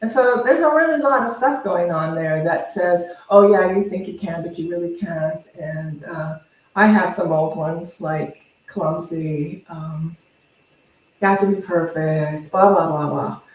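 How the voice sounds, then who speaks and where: Woman minister, unhurried and conversational spiritual talk, recorded from a distance in a very reverberant space. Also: on the low side. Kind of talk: informal teaching talk to a congregation. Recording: very echoey room, distant microphone.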